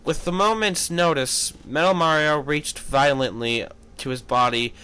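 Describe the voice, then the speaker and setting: A man reading fan fiction aloud as an amateur, halting and correcting himself, with casual commentary. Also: on the high side, sharp tone, clean tone, poor audio. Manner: halting, stumbles over words and corrects himself.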